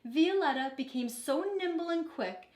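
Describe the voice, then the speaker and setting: A woman teacher reading a children's storybook aloud in an expressive, deliberate way, with brief teaching asides. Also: high voice. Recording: echoey, reverberant room.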